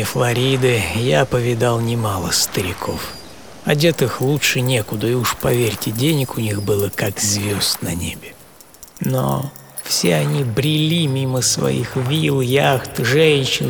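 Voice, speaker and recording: A man acting a hardy, serene seventy-year-old sailor in an audio play monologue, reflective and intimate, with savoring pauses. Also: medium pitch, vocal fry, background noise. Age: 70s. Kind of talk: first-person dramatic monologue in an audio play. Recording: music behind the voice.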